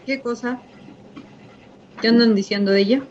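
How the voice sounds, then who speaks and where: A woman plays a sharp-tongued, defensive young Chilean worker in a radio drama. Her voice is on the high side and her delivery curt and irritable. The recording is a little dull with faint noise. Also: steady tone, moderate pace, lightly acted. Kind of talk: naturalistic radio drama acting.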